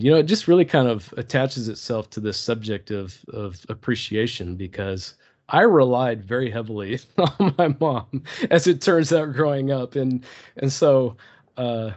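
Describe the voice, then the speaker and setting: Slow, thoughtful man from Turkey. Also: lively intonation, a little mellow. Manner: slow, thoughtful, many restarts. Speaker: podcast guest. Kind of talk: personal storytelling. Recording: dull low-fidelity podcast audio.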